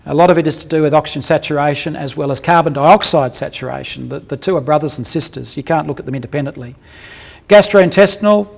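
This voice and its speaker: A man's voice: on the high side, vocal fry. A man giving a clinical dental lecture built around case examples, talking bluntly and colloquially, cracking jokes and putting questions to his audience.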